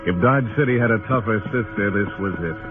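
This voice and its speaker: Male actor as world-weary western marshal, low-pitched, laconic, grim noir-style radio drama, narrow tinny recording. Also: quick pace, lightly acted. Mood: brooding. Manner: laconic, dry, brooding. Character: hard-bitten, world-weary male US marshal in Old West. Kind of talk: 1950s radio western narration and acting. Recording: tinny old radio recording, music behind.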